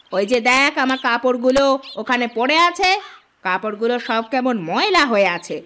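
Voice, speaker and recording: Woman acting a high-pitched, nagging cartoon stepmother. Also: background noise. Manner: nagging, accusing, sharp-tongued. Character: cruel scolding stepmother, falsely sweet before her husband.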